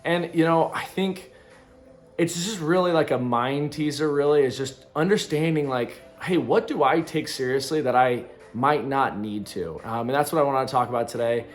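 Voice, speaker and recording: A man's voice: medium pitch, moderate pace, soft background music. A man of thirty talking loosely to camera in a casual reflective vlog, rambling with plenty of ums and likes. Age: 30s. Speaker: vlogger. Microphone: camera in front of him.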